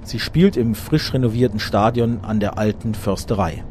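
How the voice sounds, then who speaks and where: A man narrating a scripted documentary in a measured, factual reading voice. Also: lowish voice, darkish tone, a little hiss or hum.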